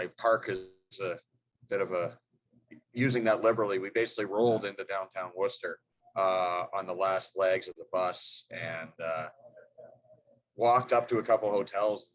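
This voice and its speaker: A man's voice: fairly low pitch, rough recording. A middle-aged man, a hockey head coach, answering press questions in a loose, rambling way with many fillers and restarts.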